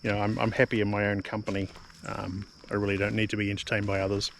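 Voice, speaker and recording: A man's voice: on the low side, little pitch movement, quick pace, gravelly. A middle-aged man from New Zealand with a New Zealand accent, rambling casually to camera, full of ums and repeated words. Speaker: vlogger. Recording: faint background noise.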